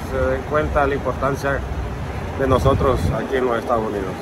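A man in his forties, a business owner, answering a street interview in front of a restaurant in an earnest, measured way. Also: medium pitch, fairly even intonation. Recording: street noise behind.

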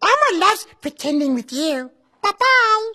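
A man's voice: very high voice, very animated, measured pace, bright, forceful tone, exaggerated voice. A man voicing a giggly little red monster toddler who talks about himself in the third person. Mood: playful. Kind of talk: children's puppet show voice acting.

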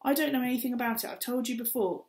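A woman's voice: fairly high pitch, rapid-fire, a little mellow, pitched above the performer's own voice, close to an everyday voice. A woman voicing a shifty, wary adult male stranger in an audiobook, evasive and defensive. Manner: evasive, defensive, streetwise slang. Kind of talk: audiobook character reading. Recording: roomy echo.

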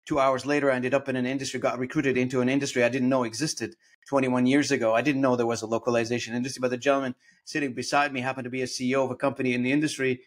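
A man at a middle pitch hosts an interview, talking in long, rambling stretches. He often restarts his phrases and reaches for words as he goes. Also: little pitch movement, rapid-fire. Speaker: podcast host. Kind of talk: conversational interview.

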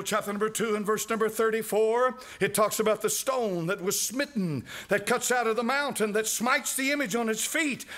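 High, bright-voiced fervent older preacher. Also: male voice. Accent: American. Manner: fervent, rising to shouts. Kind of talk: sermon. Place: church sanctuary. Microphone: pulpit mic.

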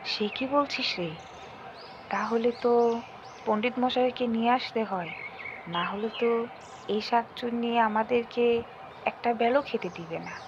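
A woman's voice: a little high, unhurried, long pauses, dull audio, background noise. A woman playing a weary, exasperated village mother in a cartoon folk tale, given to scolding. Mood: alarmed, resolute.

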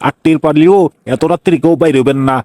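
A man voicing a flattering, obsequious henchman in a comic cartoon, with a Bangladeshi regional Bengali accent. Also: a little high, fast talker, no room sound, natural voice. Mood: fawning. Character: fawning, flattering male henchman of a cartoon villain.

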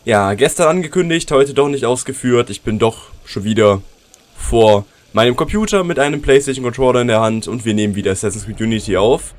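Man with a cold doing relaxed let's-play commentary at home, mid-range voice, chatty with his viewers. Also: medium pitch, brisk. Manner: chatty, addresses viewers directly. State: has a cold, sore throat. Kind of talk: casual gameplay commentary.

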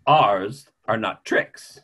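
A middle-aged man playing a careworn, formal family doctor in an online play reading, with measured, hesitant phrasing. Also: on the low side, pushed and bright, slightly rough, lo-fi sound, exaggerated voice. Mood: guarded, indignant. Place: small room at home, video call.

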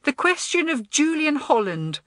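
An imperious teenage head girl from a children's storybook, played by a woman reader. She is spoiled and indignant, with lively, swooping pitch and a dry, close sound. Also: a little slow, no echo, heavily acted. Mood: haughty.

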